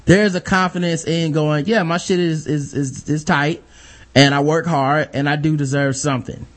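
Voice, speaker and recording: A man hosting a podcast, talking in a laid-back, rambling way with lots of fillers and a high-pitched voice. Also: steady tone, moderate pace. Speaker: podcast host. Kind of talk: casual podcast chat.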